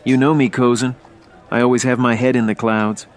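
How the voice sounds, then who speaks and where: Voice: mid-range voice, level tone, brisk, close to an everyday voice. An actor voicing a flustered, dreamy, apologetic young male elf in a fantasy audio drama. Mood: sheepish, easygoing.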